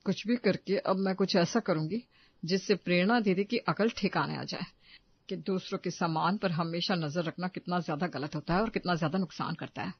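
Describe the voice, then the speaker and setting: A woman playing a sensible sister-in-law in a moral story, speaking in a measured, reasoning way. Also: fairly low pitch, husky, dry recording, highs cut off. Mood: resolved. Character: sensible, accommodating sister-in-law, quietly resentful. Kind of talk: animated moral story voice acting.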